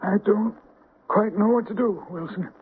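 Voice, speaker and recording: An actor playing a shaken, halting cemetery groundskeeper in a radio drama. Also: male voice, high-pitched, a little hiss or hum, close to an everyday voice. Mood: uneasy, hesitant. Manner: halting, hesitant. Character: shaken male cemetery groundskeeper reporting strange sights. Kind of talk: 1970s radio drama acting. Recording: muffled phone-like old radio recording.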